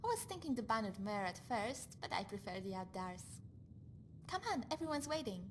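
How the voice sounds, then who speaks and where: A woman voicing a chatty, loyal female companion in a fantasy video game, bubbly, teasing and busily organising, over rumbling noise. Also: big pitch swings, fairly quick, lightly acted. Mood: chirpy, proud. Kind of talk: video game character dialogue.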